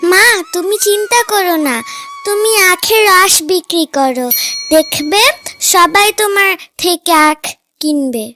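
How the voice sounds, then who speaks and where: A woman's voice: very high voice, measured pace. A woman voicing a clever, cheerful little animal daughter in a children's cartoon, eager and reassuring. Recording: music under the voice.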